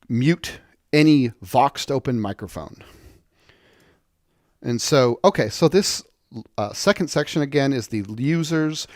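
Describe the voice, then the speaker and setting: A man giving an unscripted software walkthrough, explaining step by step and often rephrasing his points. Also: mid-range voice, dry recording, studio quality. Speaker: software tutorial presenter. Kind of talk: unscripted screen-share walkthrough.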